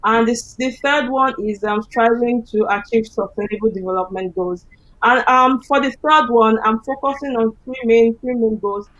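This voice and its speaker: A woman answering as an election candidate on a panel, speaking haltingly with many fillers. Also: on the high side, fairly even intonation, a bit bright, dry recording, muffled recording.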